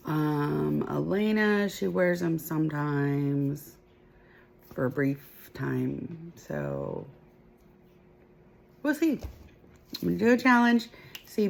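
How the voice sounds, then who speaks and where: A woman filming a casual home vlog about her family, speaking softly and quietly into a phone camera held close. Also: gravelly. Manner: soft, low-volume talk that others struggle to hear. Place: at home, partly in bed.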